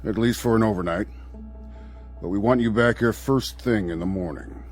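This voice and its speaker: A male actor plays a general used to giving orders, in a radio drama. His deep voice is curt, authoritative and skeptical, with faint music behind it. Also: moderate pace, close to an everyday voice. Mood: skeptical, firm. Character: skeptical, commanding male air force general.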